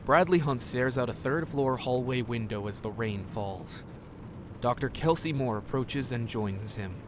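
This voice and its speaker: A man's voice: medium pitch, measured pace, background noise. A man reading scripted scene narration for an audio drama.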